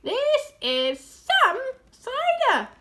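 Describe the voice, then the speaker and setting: A woman's voice: very high-pitched, wildly swooping pitch, extremely slow, pushed and bright, a little hiss or hum, pitched above the performer's own voice, exaggerated voice. A woman voicing a small, eager young male fox in a children's storybook, crying out and gasping with glee. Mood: amazed gasping. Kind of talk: children's storybook read aloud.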